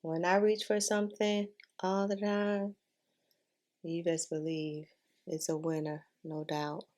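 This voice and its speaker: A woman's voice: lowish voice, level tone, slow pace, darkish tone. A woman talking in a casual, chatty way as a beauty vlogger giving a makeup tutorial, informal and repeating herself for emphasis.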